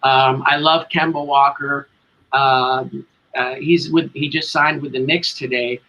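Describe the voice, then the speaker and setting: A man's voice: medium pitch, fairly even intonation, unhurried. A middle-aged man with an American accent chatting casually as an interview guest, talkative and self-deprecating over a video call. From Connecticut, United States. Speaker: guest, tribute band singer. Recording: dull lo-fi audio.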